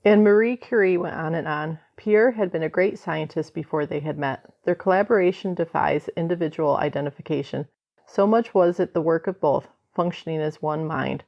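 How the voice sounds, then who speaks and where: An actress playing a solemn, admiring narrator in an old-time radio drama, grave and oratorical. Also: female voice, on the low side, natural voice. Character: solemn, admiring woman storyteller of a biography. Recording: slightly dull, band-limited sound.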